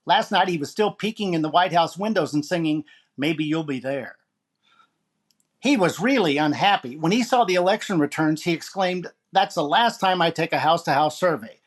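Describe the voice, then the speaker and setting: A man acts out a wisecracking male comedian of 1940s radio. The voice sits high for a man and delivers topical gags in monologue form, setup and punchline. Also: lively intonation, normal speed. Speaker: actor playing a comedian host.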